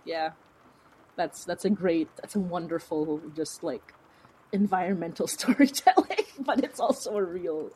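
A woman talking casually as an interview guest, in an informal, animated, rambling way with a giggly streak. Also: lowish voice. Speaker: podcast creator and writer being interviewed.

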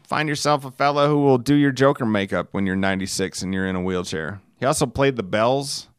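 A middle-aged man with a smooth, clear voice, recorded close and dry. He hosts a podcast as a loose, rambling storyteller. His manner is folksy and salty, with self-deprecating humor. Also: lowish voice, fairly bright tone, dry recording. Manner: chuckles while telling stories. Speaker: podcast host.

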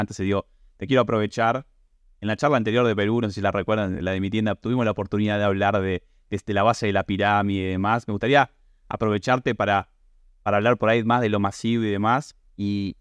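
A man's voice: fairly low pitch, clean tone, no room sound. A man with an Argentine Spanish accent hosts a podcast interview, talking informally in chatty, roundabout phrasing.

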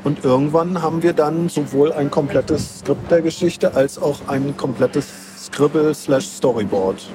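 A man's voice: a little high, measured pace, few pauses. A man who works as a comic artist and author, chatting casually in an interview and explaining step by step in everyday words. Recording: clearly noisy, ambient background noise.

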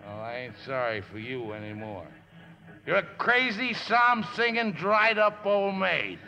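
Excitable rough riverboat drunk, brassy voice. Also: male voice, a little high, a little slow, full character voice. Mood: drunken outrage. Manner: folksy ungrammatical talk, blustering and whining. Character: rough, hard-drinking, uneducated riverboat skipper, excitable and grumbling. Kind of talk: 1950s radio drama acting. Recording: noisy, dull 1950s radio recording.

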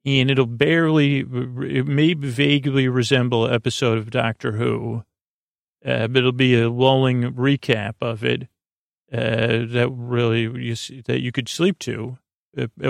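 Creaky-voiced man rambling gently at bedtime. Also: mid-range voice, flat delivery, dry recording. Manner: meandering, halting, repetitive, goofy. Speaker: podcast host.

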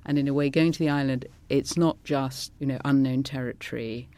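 Dark, gravelly middle-aged author. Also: medium pitch, steady tone, fairly quick. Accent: English, trained by elocution lessons. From England. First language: Scots. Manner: thoughtful, with small laughs and restarts. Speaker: author being interviewed. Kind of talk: reflective conversational interview answers.

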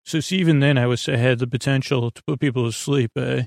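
A man hosting a podcast with a meandering bedtime monologue, halting and digressive, trailing off and restarting his sentences in a creaky voice. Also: mid-range voice, steady tone, no room sound, studio quality. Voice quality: creaky, gravelly. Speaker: podcast host.

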